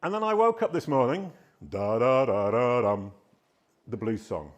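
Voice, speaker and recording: Loud, animated man giving a talk. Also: mid-range voice, unhurried. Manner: loud, animated, self-deprecating storytelling. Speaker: conference speaker. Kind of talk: live conference talk. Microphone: handheld microphone.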